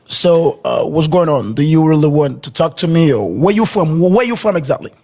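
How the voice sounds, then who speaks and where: A man chatting loudly on a live video stream, laughing often and switching between English, Spanish and French. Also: a little high, animated, normal speed. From Haiti. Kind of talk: boisterous live-stream chat.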